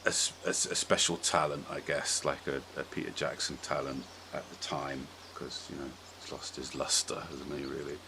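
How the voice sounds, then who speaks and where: A man's voice: rumbling low, level tone, moderate pace, few pauses. A man hosting a podcast, chatting casually and informally with strong opinions. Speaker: podcast host. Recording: clearly audible background noise.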